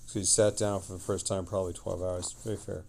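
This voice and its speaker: A middle-aged man who sounds tired, chatting casually on a livestream in a rambling, halting way and trailing off mid-sentence. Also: deep voice, level tone, vocal fry, darkish tone.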